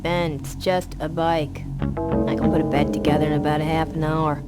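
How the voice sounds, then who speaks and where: Low-voiced, no-nonsense woman mechanic played by an actress. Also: flat delivery, moderate pace, close to an everyday voice. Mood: wry, practical. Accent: American. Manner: matter-of-fact, wry. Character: tough, no-nonsense young female motorcycle mechanic. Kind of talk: video game voice acting. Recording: music behind the voice.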